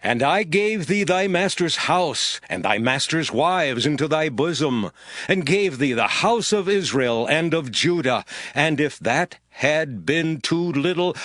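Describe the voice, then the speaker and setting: An actor playing a stern, grave Hebrew prophet, declaiming solemnly in a dramatized Bible audiobook. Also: male voice, on the high side, lively intonation, unhurried, fairly bright tone, no echo. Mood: thundering rebuke.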